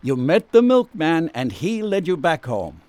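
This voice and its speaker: An actor in a radio drama playing a patient, gently persistent psychiatrist questioning someone. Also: male voice, a little high, very animated, measured pace, no room sound. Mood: probing, persistent.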